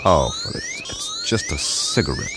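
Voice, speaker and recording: An actor playing an irritable, sarcastic space traveler who sounds confined and fed up, in a radio drama. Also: male voice, very high-pitched, huge pitch swings, moderate pace, over loud music, heavily acted. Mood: irritated. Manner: sarcastic, exasperated.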